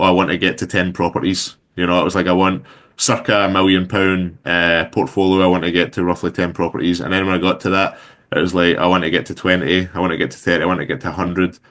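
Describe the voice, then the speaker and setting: Deep-voiced Glaswegian man in his thirties. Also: fairly quick. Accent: Glaswegian Scottish. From Scotland. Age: 30s. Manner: relaxed, rambling with many fillers. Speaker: guest entrepreneur. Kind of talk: casual interview chat.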